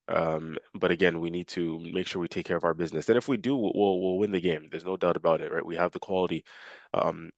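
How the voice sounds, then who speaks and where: A man's voice: sits low, no room sound, dull audio. A man with a nasal, congested voice from a cold, casually previewing a football match for his fan channel with lots of fillers. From Canada. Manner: casual, filler-heavy, repeats himself.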